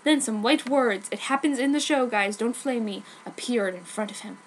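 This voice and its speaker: A woman with a higher-than-average voice reads comic fan fiction aloud as a dramatic performance. Her delivery is mock-serious and exaggerated, and the amateur recording carries noticeable background noise. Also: moderate pace.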